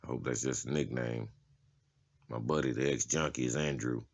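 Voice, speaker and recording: Tough male convict for a comic drama, played by a male actor: extremely low voice, slangy, foul-mouthed, laid-back phrasing. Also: fairly even intonation, moderate pace, creaky, exaggerated voice. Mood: wry, matter-of-fact. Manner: casual, slangy, profane. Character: tough, streetwise adult male prison inmate giving a tour. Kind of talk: comic-book dramatization, character acting.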